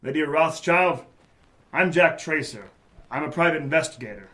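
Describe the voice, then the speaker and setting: A man playing a hardboiled private investigator in a noir audio drama, with a wry, measured delivery. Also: a little high, expressive, pushed and bright. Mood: businesslike, probing. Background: music under the voice.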